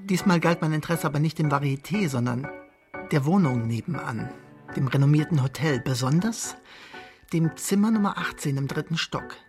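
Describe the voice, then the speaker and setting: Brooding, measured husband narrator. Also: male voice, a little high, expressive, faint music behind. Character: brooding betrayed husband telling his own story. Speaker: actor narrating a radio drama. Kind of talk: literary first-person radio drama narration.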